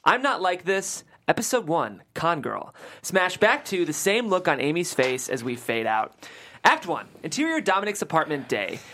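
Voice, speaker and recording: A woman reading a comedy script's stage directions aloud at a table read, with expressive, emphatic delivery. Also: lowish voice, moderate pace, slight background noise.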